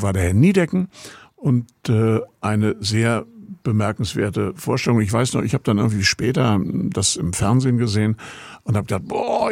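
Gravelly-voiced male radio host from Rostock. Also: on the low side, darkish tone, no echo, clean studio sound. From Rostock, Germany. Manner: chatty, meandering, introducing music. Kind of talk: casual radio presentation.

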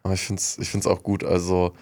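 Young man with a lowish voice, slangy. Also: monotone, a little fast, darkish tone. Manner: slangy, blunt, mixing in English words. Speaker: radio show co-host. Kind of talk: casual, joking banter.

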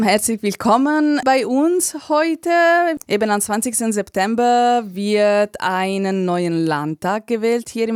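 A woman interviewing a guest for a radio show, asking plain, measured questions. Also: medium pitch, smooth, no room sound, clean studio sound.

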